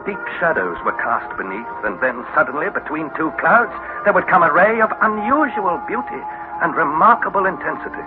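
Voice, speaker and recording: An actor playing an awestruck young man recounting his expedition in a theatrical, deliberate radio-drama style with dramatic pauses. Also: on the high side, very animated. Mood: wondering. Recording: phone-like vintage radio recording with music behind.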